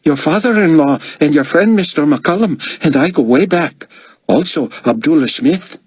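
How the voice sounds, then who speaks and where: A man's voice: on the high side, animated, moderate pace, breathy edge, no room sound, voice pushed up. A man voicing an older, small Middle Eastern pilot, polite and earnest, with a thick Middle Eastern accent.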